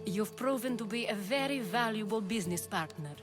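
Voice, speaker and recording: A woman's voice: medium pitch, animated, brisk, music in the background, close to an everyday voice. An actress playing a proud, shrewd woman of influence who speaks with assured, dramatic delivery in a video game cutscene. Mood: grateful, reflective. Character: commanding, shrewd, proud female Corinthian power broker.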